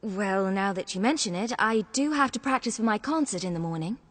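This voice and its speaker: A woman voicing a gentle, affectionate young boy's mother with a caring manner in an animated game. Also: medium pitch, a little fast, a little hiss or hum, lightly acted. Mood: affectionate, lightly chiding. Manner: soft, caring, lightly chiding. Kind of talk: animated game cutscene acting.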